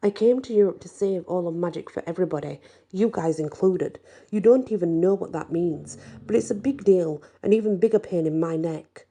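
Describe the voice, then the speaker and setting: A woman's voice: lowish voice, moderate pace, few pauses, warm tone. A woman voicing a feisty, sarcastic young female werewolf heroine in a storybook, blunt and sassy. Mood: indignant. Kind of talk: acted storybook dialogue.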